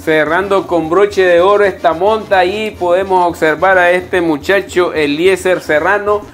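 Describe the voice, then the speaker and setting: Male co-commentator, slightly high voice, measured descriptions. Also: steady tone, a bit bright.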